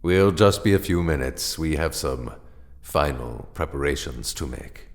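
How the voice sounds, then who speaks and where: An actor playing a calm male mentor in an audio drama, heard in a long echo. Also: extremely low pitch, unhurried, full character voice. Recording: long echoing hall reverb.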